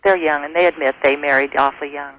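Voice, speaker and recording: A woman's voice: low voice, fairly even intonation, fast talker, a crowd in the background. A middle-aged American woman calling a radio show, chatting casually in a good-humored, slightly hesitant way over the telephone. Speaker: radio call-in show caller. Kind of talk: casual phone conversation. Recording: dull, faintly noisy telephone line.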